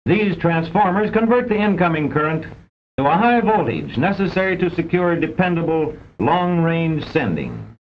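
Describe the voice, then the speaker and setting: A man's voice: very animated, moderate pace. A man reads scripted narration for an old educational film in a formal, precise, didactic way, on a vintage film soundtrack. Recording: dull vintage film soundtrack with rumbling noise.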